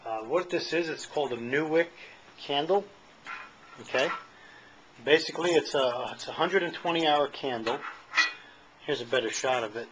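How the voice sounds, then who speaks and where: A man's voice: fairly high pitch, normal speed, long pauses, background noise. A middle-aged man casually demonstrating a camping candle stove at an outdoor patio table, talking in a plain, rambling way with many fillers, recorded on a handheld camera. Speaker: channel host. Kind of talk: casual product demo.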